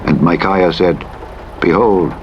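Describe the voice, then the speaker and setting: A man narrates scripture in a solemn, formal style, with measured and dramatic delivery. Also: deep voice, dull audio. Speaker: audiobook Bible narrator. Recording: loud truck engine noise over voice. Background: truck engine and vehicle sounds from driving simulator game.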